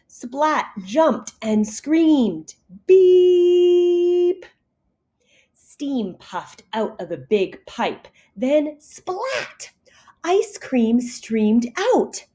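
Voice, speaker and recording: A woman reading a picture story aloud to kindergartners in an expressive, deliberate teacher's manner. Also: mid-range voice. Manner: animated, deliberate storytelling for young children.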